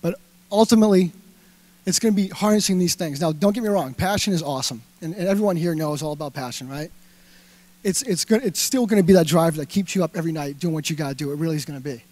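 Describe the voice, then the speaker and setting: Boston dude on stage, pretty high voice, dry sound, keeps asking the crowd stuff, lots of um and you know. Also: male voice, fast, darkish tone, dry recording. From Massachusetts. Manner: punchy, rhetorical questions to the crowd, filler words. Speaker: speaker at a live talk event. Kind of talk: energetic stage talk to an audience. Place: indoor event hall.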